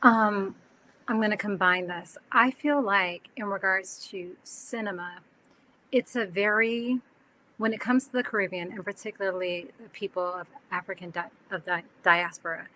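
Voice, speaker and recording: A woman filmmaker on a video-call panel, speaking thoughtfully with halting restarts. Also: medium pitch, pushed and bright, slight background noise, poor audio. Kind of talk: panel discussion.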